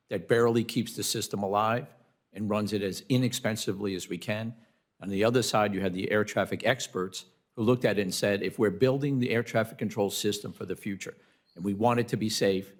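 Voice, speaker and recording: A man testifying as a witness at a hearing, explaining his points formally but unscripted, in a measured, courteous way. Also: fairly low pitch, steady tone. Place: committee hearing room.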